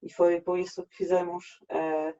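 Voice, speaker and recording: Halting man with a French accent, flat and dark. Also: high-pitched. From France. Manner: halting, frequent restarts. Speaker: workshop presenter. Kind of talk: online presentation. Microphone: video call. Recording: dull lo-fi video call audio.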